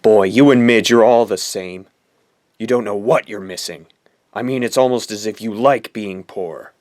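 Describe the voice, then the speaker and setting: A man playing a scornful, spurned, cocky rich high school boy in an audio drama, sneering as he talks. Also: normal speed, darkish tone, dry recording, clean studio sound, natural voice.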